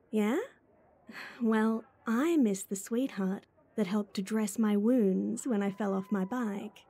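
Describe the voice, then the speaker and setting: A woman acts out a playful, clingy young student pestering her best friend in an ASMR roleplay. Her voice sits a little high but stays soft and mellow, with a breathy edge. She is chatty and teasing, recorded dry and close. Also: no room sound, heavily acted. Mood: fond teasing. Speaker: voice actor.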